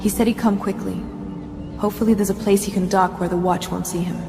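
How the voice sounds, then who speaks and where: A woman's voice: mid-range voice, fairly even intonation, fast talker, gravelly, lightly acted. A woman playing an earnest, shaken, protective survivor in a dramatic video game. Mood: earnest, pleading. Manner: earnest, pleading. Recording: reverberant hall sound, music behind.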